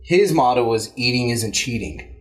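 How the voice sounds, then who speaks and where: A man's voice: medium pitch, expressive, moderate pace, loud music behind the voice. A man hosting a movie review show in casual, joking chat with crude, bantering humor.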